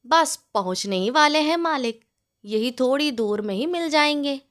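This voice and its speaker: A woman's voice: high voice, lively intonation, moderate pace, fairly bright tone. A woman voicing a clever, patient male gardener in a storybook tale, explaining things respectfully to his master. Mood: reassuring. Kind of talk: storybook character voice in narrated tale.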